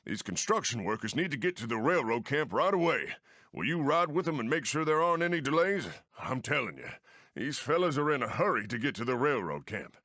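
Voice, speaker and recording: A friendly frontier railroad engineer from a video game, with an American accent and a folksy, colloquial way of talking. His pitch is mid-range and swings very widely as he talks. The recording is dry and close, with no room sound. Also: sing-song, a little fast, sharp tone, dry recording. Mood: helpful, earnest. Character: friendly folksy Old West steam train engineer. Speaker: mission-giver game character. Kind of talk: animated video game voice acting.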